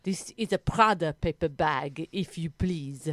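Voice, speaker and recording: A woman playing a haughty, menacing Belgian sweet-shop keeper in improvised comedy, with a French-speaking Belgian accent and indignant, deadpan delivery that rises at the ends of sentences. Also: low voice, a little slow, no echo.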